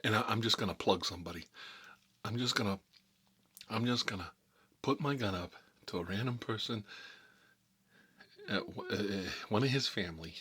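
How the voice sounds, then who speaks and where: Man playing a husky, hot-headed mob boss. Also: lowish voice, normal speed, long pauses, pushed and bright. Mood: menacing, simmering. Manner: rambling, repetitive, streetwise slangy grumbling. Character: talkative hot-headed male mob boss being questioned by feds. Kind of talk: improvised ASMR comedy roleplay monologue.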